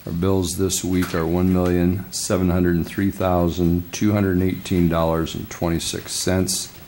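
An older man, a city council member, reading motions aloud in a flat, measured way at a desk microphone. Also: low voice, a little hiss or hum. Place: council chamber.

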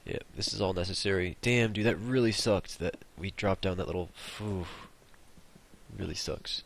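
Deep gravelly young man gaming. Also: moderate pace. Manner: rambling, joking, mildly profane. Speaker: gaming channel host. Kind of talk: casual co-op gameplay commentary.

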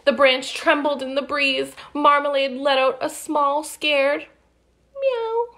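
A woman in her thirties reading a picture book aloud to young children, with sing-song delivery and friendly asides. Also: sits high. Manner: animated, slow and deliberate, sing-song child-directed delivery. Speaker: children's storytime reader. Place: living room at home, indoors. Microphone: phone or webcam at arm's length.

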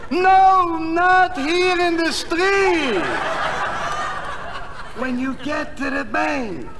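A man playing an exasperated, self-important bank-robber boss in a comedy sketch, condescending and deliberate. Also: very high voice, exaggerated voice. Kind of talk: 1960s comedy album sketch acting. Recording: noisy, reverberant 1960s comedy record.

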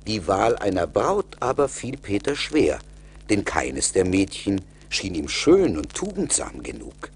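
Guy narrating a fairy tale radio play, deep raspy voice, slow n measured. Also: wildly swooping pitch, a little hiss or hum. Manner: measured, deliberate. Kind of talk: scripted storytelling narration.

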